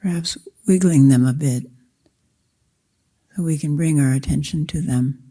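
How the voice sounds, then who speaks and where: A woman meditation teacher guiding a practice in slow, deliberate short phrases with long pauses. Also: low voice, dark tone. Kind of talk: guided meditation, slow spoken instruction.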